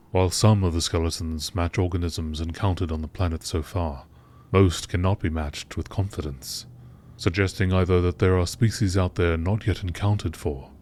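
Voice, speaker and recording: A man's voice: low voice, darkish tone, slight background noise, lightly acted. A man voicing a grave, impersonal sci-fi facility log in a formal, detached manner. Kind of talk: scripted sci-fi game log narration.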